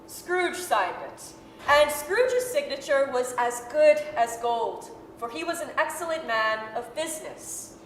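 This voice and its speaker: A woman narrating a story dramatically, with emphatic, deliberate phrasing. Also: high voice, big pitch swings. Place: performance hall stage. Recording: echoey hall with reverb, faint noise.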